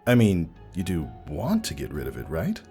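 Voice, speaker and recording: A man's voice: deep voice, wildly swooping pitch, normal speed, vocal fry, warm tone, soft background music, pitched below the performer's own voice, heavily acted. A man voicing a nervous young stallion, an earnest worried friend who stammers and pleads. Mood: pleading. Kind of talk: fan audio drama acting.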